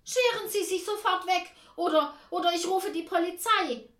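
A woman's voice: normal speed, a bit bright, roomy sound. A woman voicing an anxious, bossy, suspicious aunt in a children's story, exclaiming and scolding. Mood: indignant. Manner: shrill exclamations and stern scolding.

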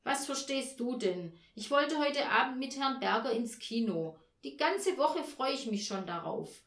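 A woman's voice: sits high, expressive, unhurried, a bit bright, echoey room, voice pushed up, full character voice. A woman voicing an irritable, short-tempered mother who complains in a heated, emphatic way, in a storybook reading. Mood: frustrated, snappish.

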